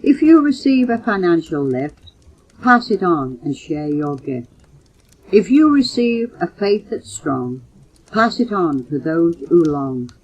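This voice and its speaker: An older woman reading poems aloud in a measured, earnest way, recorded on a muffled old home cassette. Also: on the low side, sing-song, a little mellow, slightly rough. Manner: measured, earnest recitation, announcing titles and authors. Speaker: mother reading poems for her children. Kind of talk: recited poetry reading.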